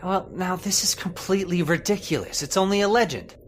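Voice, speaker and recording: Man acting as protective skeptical husband, radio drama, highly animated, fretful, faint background noise. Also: a little fast. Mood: dismissive. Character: worried, skeptical husband protective of his wife. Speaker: voice actor. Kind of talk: old-time radio horror acting.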